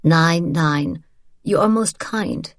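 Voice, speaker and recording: A woman's voice: lowish voice, slow pace, no room sound, studio quality. A woman voicing a stiff, formal German army captain who speaks in stilted, overly polite phrases.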